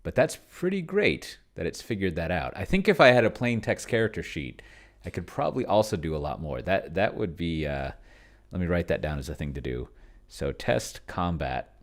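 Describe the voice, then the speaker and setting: A man casually thinking aloud as he plays a solo tabletop game, halting and muttering to himself as he types. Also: deep voice, lively intonation. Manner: self-described pedantic. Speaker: solo tabletop player and video host.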